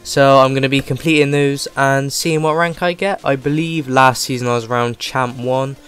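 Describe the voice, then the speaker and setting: Man with a cold, flat voice. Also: medium pitch, moderate pace, clean tone. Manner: rambling, chatty, many "like" fillers. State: voice rough from a cold. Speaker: gaming channel host. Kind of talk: casual gameplay commentary.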